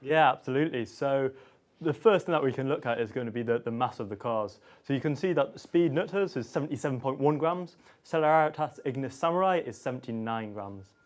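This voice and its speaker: A man's voice: medium pitch, expressive, fairly quick. A man explaining car stats and build quality in an eager, explanatory way, with some restarts. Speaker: technical analyst. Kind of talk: technical commentary.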